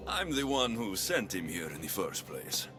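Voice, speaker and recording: With music behind him, a distrustful mercenary hero from a video game. His delivery is curt and challenging, swinging widely in pitch with sardonic bite. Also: very animated, normal speed. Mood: blunt, wary. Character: blunt, distrustful male Greek mercenary hero. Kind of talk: video game cutscene acting.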